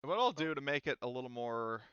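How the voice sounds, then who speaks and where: A man acting as game master, narrating a tabletop game casually and haltingly as he thinks aloud. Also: medium pitch, expressive, a bit bright, smooth, no room sound, muffled recording.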